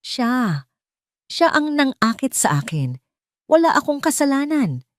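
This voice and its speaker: A man's voice: very high voice, sing-song, a little slow, no room sound, clean studio sound, pitched above the performer's own voice, exaggerated voice. A woman voicing a panicked young husband caught cheating, stammering and pleading in an audio drama.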